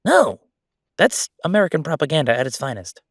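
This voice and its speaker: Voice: medium pitch, sing-song, a little fast, slightly rough, dry recording, studio quality. An actor playing a chatty, sardonic ghost roommate in a roleplay audio, talking in a casual, teasing, exclamatory way. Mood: indignant, amused. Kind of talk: roleplay audio acting, conversational.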